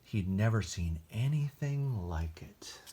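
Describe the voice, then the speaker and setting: A man with an American accent reading aloud at a children's storytime, expressive and deliberate, with casual asides in simple words. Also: on the low side, slight background noise. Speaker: library storytime reader.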